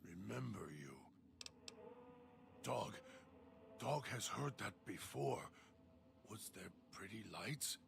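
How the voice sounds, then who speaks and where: A man's voice: on the low side, animated, vocal fry, noisy background, full character voice. A man voicing a feral, broken-minded servant who calls himself Dog and talks of himself in broken third person. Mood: haunted. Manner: halting, childlike, fearful of an inner voice.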